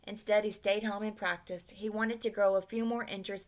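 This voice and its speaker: A woman reading a children's picture book aloud with expression, pausing to ask her listeners questions. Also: mid-range voice, level tone. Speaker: read-aloud storyteller.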